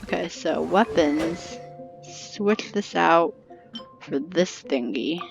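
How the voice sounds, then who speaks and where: A woman's voice: fairly low pitch, slow pace, creaky. A young woman giving casual, playful game commentary, muttering offhand reactions to herself. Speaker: gameplay streamer. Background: game music.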